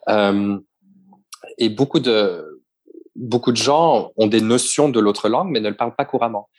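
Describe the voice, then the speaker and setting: A man's voice: on the low side, measured pace, darkish tone, breathy edge. A young man with a Belgian French accent, bilingual, answering interview questions about his embassy job at length, with many hesitations and restarts. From Belgium, grew up in Flanders. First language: bilingual French and Dutch. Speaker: embassy staff member, interviewee.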